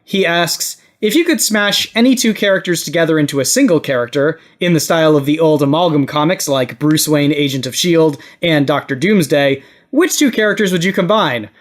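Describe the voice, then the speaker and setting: A man's voice: on the high side, lively intonation, brisk, hardly any pauses. A man hosting a casual panel podcast, talking in a nerdy, jokey way. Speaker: podcast host.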